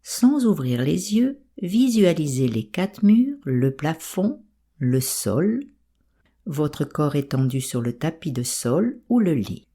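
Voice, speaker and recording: A woman with a soft, breathy voice calmly guiding a yoga nidra meditation in an unhurried, soothing way. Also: low voice. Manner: calm, soothing, unhurried instruction. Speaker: meditation guide.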